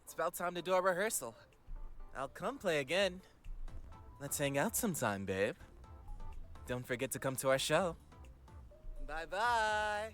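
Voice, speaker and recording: A man's voice: on the high side, very animated, a little slow, pauses often, fairly bright tone. An actor playing a vibrant, teasing young male band vocalist in a visual novel game, drawing out his words playfully. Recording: music behind the voice.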